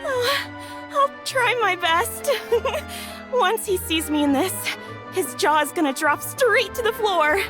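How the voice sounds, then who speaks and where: A young bride-to-be speaking in a very high voice, gushing with delight over her dream gown. Light game music runs underneath. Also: lively intonation, takes it slowly, heavily acted. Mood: awed. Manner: awed, gushing. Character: starry-eyed young bride-to-be customer. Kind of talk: game voice acting.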